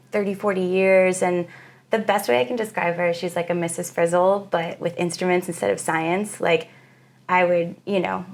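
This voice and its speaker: A young woman speaks in a deep, gravelly register. Her talk is reflective and halting, full of pauses and fillers, in a casual interview chat. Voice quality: very low female voice. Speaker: guest musician.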